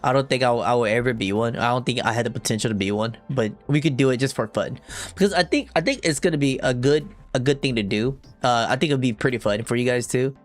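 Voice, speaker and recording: Young man, casual gaming streamer, loose slangy chat, mid-pitched. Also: fast talker, soft background music. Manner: rambling, slangy, reads dialogue in a deep whisper. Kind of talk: casual live-stream chat, reading game text aloud.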